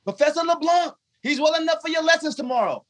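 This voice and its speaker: A man's voice: very high-pitched, lively intonation, quick pace, fairly bright tone. A man playing a loyal but cheeky household valet in 1950s radio comedy style, with dry, sassy punchlines. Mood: wry.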